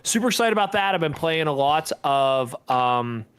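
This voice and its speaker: Higher-pitched man in easygoing podcast chat. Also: expressive, normal speed, a bit bright, smooth. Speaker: podcast co-host. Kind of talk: casual chat on a gaming podcast.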